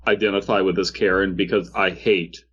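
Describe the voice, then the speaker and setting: A man's voice: low voice, little pitch movement, a little fast, a bit bright, muffled recording, slight background noise. Casual, sweary male podcast co-host. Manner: profane, ranting, repeats phrases for comic effect. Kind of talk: casual comedic podcast banter.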